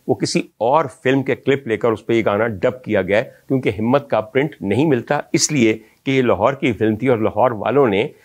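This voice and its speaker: A man from Pakistan, telling the history of old film songs in an ornate, reminiscing manner and speaking directly to his audience. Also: medium pitch. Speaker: film music historian host. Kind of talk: storytelling music history talk.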